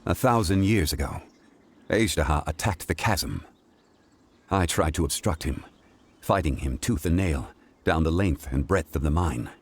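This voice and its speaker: A man voicing a calm, weary ancient god in human form, speaking formally and deliberately in measured video game dialogue. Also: very deep voice, breathy edge, exaggerated voice. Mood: grave, wistful.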